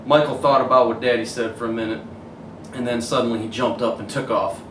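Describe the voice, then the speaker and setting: A man reading a children's picture book aloud as a coach would, pausing to point out the pictures. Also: medium pitch, steady tone, fairly bright tone. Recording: roomy, distant mic, faint hiss.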